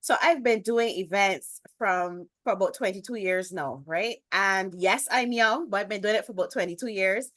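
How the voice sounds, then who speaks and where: A Barbadian woman in her thirties speaks over a video call, expressive and emphatic as she introduces herself. Her voice sits at an average pitch. The sound is dry and close, with no room around it. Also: moderate pace, few pauses, no room sound. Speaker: guest, business lifestyle strategist. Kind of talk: interview, personal introduction.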